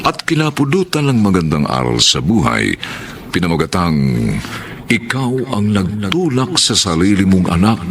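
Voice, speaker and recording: A man announcing a radio drama in a formal, declamatory scripted style with drawn-out phrase endings. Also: sits low, a bit bright, a little hiss or hum.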